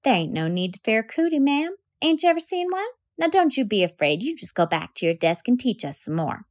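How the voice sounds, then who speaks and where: Boy role, woman reader: kind poor schoolboy, gentle folksy manner, high voice. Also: very animated, a little fast. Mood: soothing.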